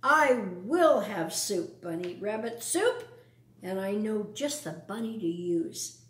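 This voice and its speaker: A woman acts out a scruffy male vermin villain from a storybook, bossy and menacing. He barks his orders slowly, with big swings of pitch, in a roomy, echoing space. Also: expressive, far from the mic, a little hiss or hum. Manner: barking, snarling orders.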